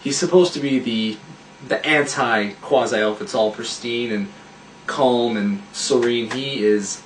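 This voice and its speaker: A man's voice: medium pitch, slow, distant mic, slight background noise. A man who acts in a radio play, wryly describing his part in a casual interview. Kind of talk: casual behind-the-scenes interview. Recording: roomy, away from the mic.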